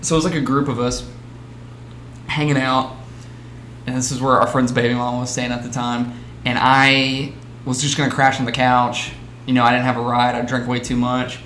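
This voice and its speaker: A man's voice: medium pitch, flat delivery, moderate pace, a bit bright. A man with a Texas accent, chatting casually on a podcast and telling stories, with frequent laughs and restarts. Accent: Texan. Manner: laughs often, trailing restarts. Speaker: podcast host. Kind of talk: casual chat, storytelling. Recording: echoey room, faint background hiss.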